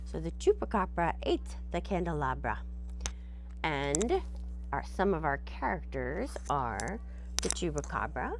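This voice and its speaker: Woman teacher, storybook read-aloud; patient and explanatory, unhurried, expressive pitch. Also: background noise. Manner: patient, explanatory, checks with "right?". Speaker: teacher reading aloud to children.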